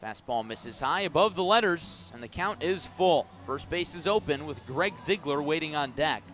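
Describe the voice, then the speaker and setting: An American man doing live radio play-by-play of a baseball game, measured between pitches and emphatic on the action. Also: animated, a bit bright, faint music behind. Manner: measured description rising into emphatic calls on the action. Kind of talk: live radio sports commentary. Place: outdoors at a ballpark.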